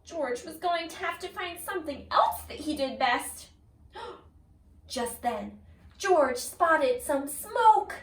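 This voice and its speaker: A woman reading a picture book aloud like a kindergarten teacher, expressively, pausing to put questions to young listeners. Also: sits high, expressive. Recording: roomy echo, distant from the mic.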